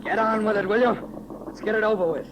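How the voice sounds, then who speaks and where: A man's voice: sits high, fairly even intonation, fast. A man playing a bold, daring space adventurer, urgent and declamatory in 1930s radio serial style. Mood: defiant. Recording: very noisy 1930s radio recording.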